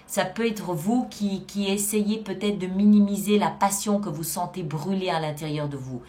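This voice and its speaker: A woman's voice: on the low side, normal speed, mellow. Woman giving an emphatic tarot reading. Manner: emphatic, explanatory, repeating phrases for emphasis. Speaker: tarot reader and channel host. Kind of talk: spoken tarot card reading addressed to viewers.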